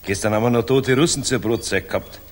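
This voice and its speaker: An actor playing a brash, crude fighter pilot captain, hearty and blunt, in a 1960s radio drama. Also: male voice, mid-range voice, quick pace, lightly acted. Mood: hearty swagger. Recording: distant, reverberant mono radio studio, hiss.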